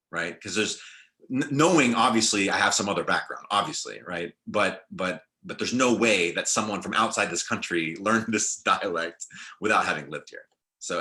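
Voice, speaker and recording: A young man on a video call panel, talking in a relaxed, reflective way with many fillers and restarts. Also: sits low. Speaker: film director and panelist.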